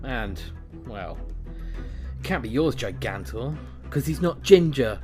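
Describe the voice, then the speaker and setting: A man performs a menacing gang henchman in an audio drama. His pitch leaps about as he taunts with mock politeness and pompous sarcasm, over soft music. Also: expressive, takes it slowly. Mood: sarcastic taunting. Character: menacing, mocking male henchman gang leader, theatrically sarcastic.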